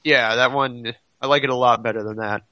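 A man talks casually on a fan podcast in a bright, punchy voice, often circling back over his own words. The sound is very dry, though slightly dull and lo-fi. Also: mid-range voice, steady tone, brisk, no echo. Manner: rambling, repeats himself, heavy use of "like". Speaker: podcast panelist.

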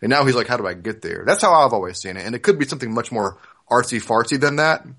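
A man in a casual, reflective podcast discussion of manga, often halting and correcting himself. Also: medium pitch, lively intonation, fairly bright tone. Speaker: podcast co-host.

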